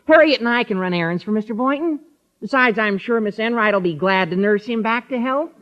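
An actor playing an eager, gabby teenage high school boy in old radio comedy, youthful and earnest. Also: brisk, lightly acted. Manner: earnest, excitable, chatty. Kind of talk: 1940s-50s radio comedy acting. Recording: muffled old radio broadcast recording.

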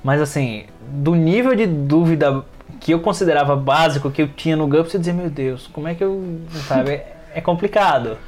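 A man's voice: on the high side, moderate pace, a little mellow. A man with a Brazilian accent in a casual podcast discussion, hesitating and repeating words as he explains. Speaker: podcast participant.

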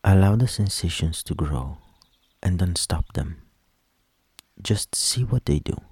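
Man doing guided meditation, super low husky whispery voice, soft and soothing, really unhurried. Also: lively intonation, no room sound. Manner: soft, soothing, breathy delivery trailing into whispers; slow, gentle instructions with long pauses.

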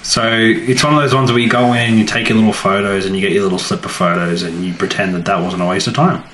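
A man co-hosting a podcast in casual banter, chipping in with wry, animated remarks. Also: on the low side, quick pace. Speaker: podcast co-host. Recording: roomy echo, faint background noise.

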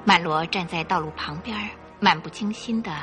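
A woman narrating a Chinese radio detective drama in a measured, suspenseful storytelling style. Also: fairly low pitch, bright, forceful tone. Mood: suspenseful narration. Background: faint music.

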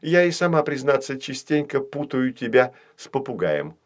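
A man voicing a pert, sharp-tongued female doll who needles others in a children's story. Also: mid-range voice, sing-song, moderate pace, natural voice. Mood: gleeful teasing.

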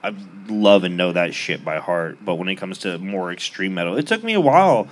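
A man with a fairly low voice chats easily as a guest on a live podcast. He rambles through a story with plenty of fillers and asides. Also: sing-song, brisk. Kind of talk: casual chat. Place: live bar stage.

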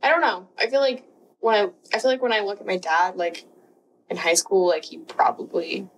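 A woman's voice: medium pitch, animated, a little fast, slightly rough, rough recording. A woman in her twenties co-hosting a podcast, chatting casually and giggling, often searching for words. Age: 20s. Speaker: podcast co-host. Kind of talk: casual chat.

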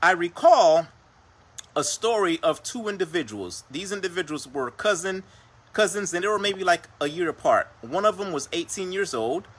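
A man's voice: high voice, expressive, normal speed, fairly bright tone, husky. A Haitian-born man whose first language is Creole, hosting a motivational talk on his livestream show. He speaks earnestly and keeps coming back to phrases like "right?" and "per se.". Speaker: podcast host.

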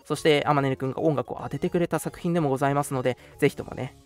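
A man's voice: medium pitch, racing. A man hosting a casual radio show, chatting politely and making announcements over background music.

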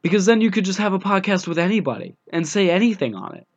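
A young man with a scratchy voice from a cold, co-hosting a casual podcast over the phone with dry, deadpan jokes. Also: sits high, quick pace. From United States. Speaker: podcast co-host. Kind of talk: casual chat. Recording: dull phone-line sound.

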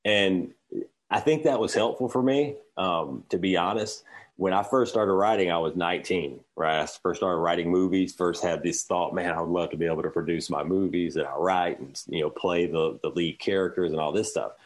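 A man in his forties with a very deep voice answers interview questions as a filmmaker. He talks candidly and loosely, circling back and restarting his sentences as he goes. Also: moderate pace. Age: 40s. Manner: candid, rambling with many restarts. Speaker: filmmaker guest.

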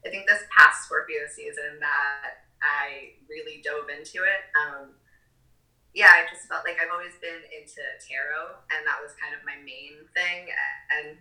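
A young adult woman with a low but very bright, edgy voice chats as a podcast guest. She talks casually with lots of ums and small giggles. Her voice rings in an echoey room. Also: steady tone, measured pace, faint music behind. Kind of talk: casual interview chat.

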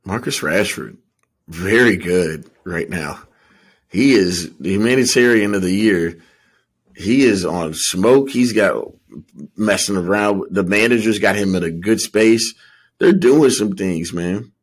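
A man's voice: deep voice, unhurried, breathy edge. A man co-hosting a sports podcast, giving emphatic, slangy opinions in casual football chat.

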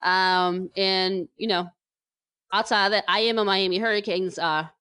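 A woman's voice: mid-range voice, steady tone, measured pace, bright, forceful tone, clear, resonant tone, no room sound, studio quality. An American woman from New Jersey co-hosting a casual, chatty podcast, speaking with emphatic repetition. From New Jersey, United States. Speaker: podcast co-host.

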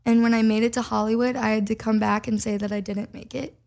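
A woman's voice: a little high, level tone, fast talker, creaky, a little mellow. A young woman from Minnesota, a singer and podcast guest, telling her story in a chatty way full of "like" fillers. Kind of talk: casual storytelling chat.

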